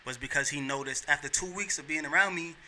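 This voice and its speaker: Man in his thirties, from New York, earnest slangy talk, fairly high voice, very bright tone, faint noise behind. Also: quick pace. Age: 30s. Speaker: podcast guest. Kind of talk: casual chat.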